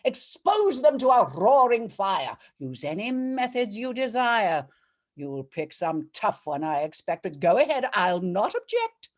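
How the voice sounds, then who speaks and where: A woman's voice: medium pitch, sing-song, unhurried. A woman playing a righteous female judge, declaiming comic rhyming verse theatrically and savouring each rhyme. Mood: commanding. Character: regal, righteous female judge of a bawdy court. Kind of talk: dramatic verse reading, rhyming comic poem.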